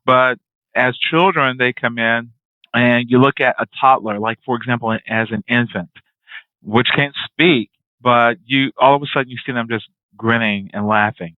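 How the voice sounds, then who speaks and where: A man's voice: medium pitch, normal speed, dry recording. Man asking long winding questions. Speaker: interview host. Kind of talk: casual interview talk. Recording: dry, tinny phone-like line.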